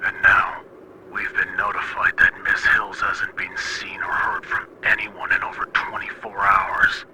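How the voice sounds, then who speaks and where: Casting: male actor as cold military commander, lowish voice, slow and condescending, heavy radio noise, horror animation. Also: huge pitch swings. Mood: stern. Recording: very noisy radio transmission.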